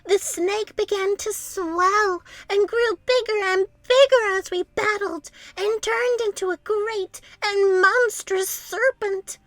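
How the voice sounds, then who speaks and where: Very high, dramatic boastful storybook creature. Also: female voice, fairly bright tone, dry recording, exaggerated voice, poor audio. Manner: theatrical, breathless exaggeration. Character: boastful, melodramatic female storytelling desert creature. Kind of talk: children's storybook character voice.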